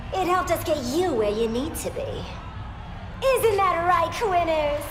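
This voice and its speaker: A theatrical, taunting villainess in a video game cutscene, extremely high and wildly animated. She sells her menace with showy glee and slow, drawn-out delivery over a noisy crowd. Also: heavily acted. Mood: smug. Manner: showy, taunting sales pitch. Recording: echoey, loud music and crowd behind.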